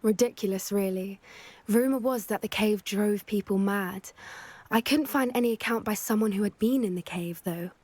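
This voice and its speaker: A woman's voice: mid-range voice, moderate pace, a little mellow, lightly acted. A woman playing a curious, bookish, adventurous teenage witch in a video game, articulate and wry. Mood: thoughtful, wry. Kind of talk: video game companion dialogue.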